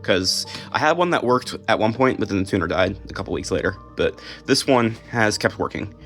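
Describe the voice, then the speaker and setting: An American man giving a casual, unscripted show-and-tell, halting and restarting as he goes. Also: lowish voice, lively intonation, a bit bright, faint music behind. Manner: halting, unscripted, trailing off mid-thought. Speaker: video creator showing a collection.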